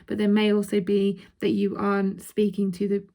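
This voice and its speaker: Woman w/ a croaky throat doing a chatty card reading, mellow voice, keeps saying okay. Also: medium pitch, monotone, moderate pace. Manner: chatty, checks in with "okay?", laughs between points. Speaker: intuitive card reader. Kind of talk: casual card reading to viewers.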